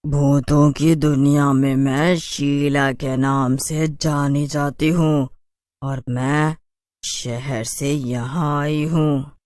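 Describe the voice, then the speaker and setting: Woman playing a haughty city witch, slow-talking. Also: sits low, level tone, darkish tone, no echo, studio quality. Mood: haughty, boastful. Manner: taunting, dismissive. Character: haughty stylish city witch, taunting and spoiled. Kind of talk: animated horror story dialogue.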